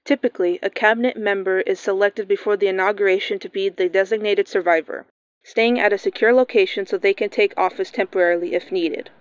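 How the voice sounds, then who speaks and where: A woman playing a radio newscaster, reading breaking news in a steady, formal manner. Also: medium pitch, fairly quick, no room sound, natural voice. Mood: urgent. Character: composed female radio newscaster. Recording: dull lo-fi sound.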